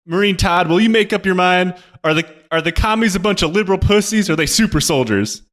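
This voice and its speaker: A man's voice: high-pitched, lively intonation, quick pace, fairly bright tone. A man playing a nervous, folksy soldier with a hammy drawl in a comedic radio play. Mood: exasperated. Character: folksy, nervous Southern soldier named Tex, male.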